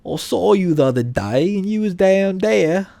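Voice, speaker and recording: A man's voice: high-pitched, animated, vocal fry, dark tone, dry recording, pitched above the performer's own voice. A man putting on a mock British accent as a flirting suitor asking a woman to tea. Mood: flirty. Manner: drawn-out, exaggerated wooing.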